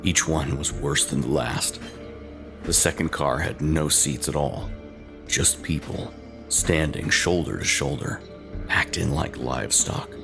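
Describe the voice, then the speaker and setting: A man narrating a scripted first-person horror tale in short, halting phrases with an ominous, dramatic manner. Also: extremely low pitch, creaky, music in the background.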